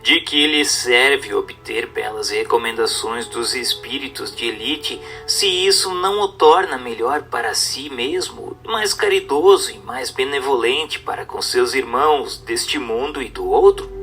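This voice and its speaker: A man's voice: mid-range voice, lively intonation, unhurried, few pauses, bright, forceful tone, soft background music. A Brazilian man reading a scripted spiritual text in a formal, instructive manner. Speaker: narrator. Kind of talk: scripted narration of a spiritist book.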